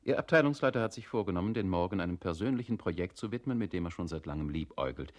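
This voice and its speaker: A man's voice: deep voice, lively intonation, very fast. A man acting in a radio play as a computer-like voice stating assumptions in an emphatic, formulaic, didactic way. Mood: brisk, assertive. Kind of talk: experimental radio play, formal logical recitation.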